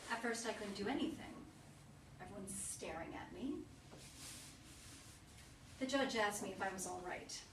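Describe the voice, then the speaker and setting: Stage reading: actress as anxious, refined mother, sixties, hesitant delivery, mid-pitched, echoey recording. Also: recorded from a distance, close to an everyday voice. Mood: anxious, confiding. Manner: hesitant, halting, uneasy. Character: elegant, troubled sixty-ish mother, a lawyer frightened by memory lapses. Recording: echoey room from afar, noisy.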